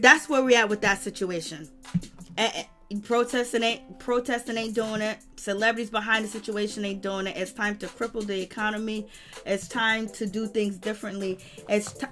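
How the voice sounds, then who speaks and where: A hoarse woman hosting a livestream, speaking fervently and greeting viewers in the chat. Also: on the high side, a bit bright. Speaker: livestream host. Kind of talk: impassioned livestream commentary.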